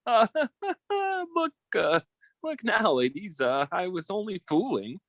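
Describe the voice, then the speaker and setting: Man as scheming radio-drama suitor, very lively pitch, theatrical, bullying but cowardly, dry studio sound. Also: slow pace, no echo, full character voice. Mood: nervous pleading. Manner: theatrical, stammering when flustered. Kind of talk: old-time radio drama acting.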